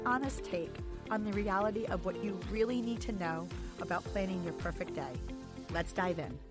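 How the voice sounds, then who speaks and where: A woman in her forties hosting a wedding podcast, chatty and enthusiastic, speaking close to the mic. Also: medium pitch. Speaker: podcast host and wedding photographer.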